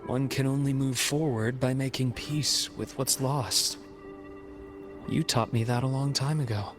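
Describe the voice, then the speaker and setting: Calm, steadfast man, voiced by an actor, music behind. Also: mid-range voice, natural voice. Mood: earnest, reassuring. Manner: measured, reassuring, earnest. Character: calm, steadfast male companion consoling an old friend. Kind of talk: video game cutscene voice acting. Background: background music.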